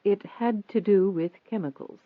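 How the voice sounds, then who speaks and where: A man's voice: sits high, measured pace, dry recording, voice pushed up. A man voicing a prim, nervous, proud rich woman in an audiobook, formal and defensive, with an anxious edge. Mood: nervous, shaken. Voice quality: trembling, anxious. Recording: thin phone-like band-limited audio.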